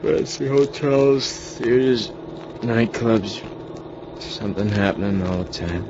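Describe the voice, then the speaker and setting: An actor playing a tough, confident, impatient small-time crook on the run in an old radio drama, with slangy, bossy delivery. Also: male voice, fairly low pitch, slow pace. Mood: wistful, boastful. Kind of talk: 1940s radio drama acting. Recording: muffled, noisy old-time radio recording.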